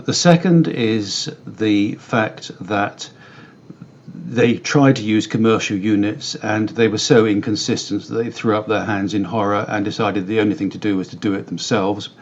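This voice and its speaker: Low-voiced British man, slightly dull recording. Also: fairly even intonation, moderate pace. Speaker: company director interviewed as guest. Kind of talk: conversational expert interview answers.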